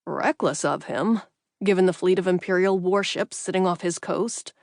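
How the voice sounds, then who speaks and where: A woman voicing a gruff older male castle retainer, deferential and wary, in a fantasy audiobook. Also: lowish voice, moderate pace, a little mellow, dry recording, studio quality. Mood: disapproving.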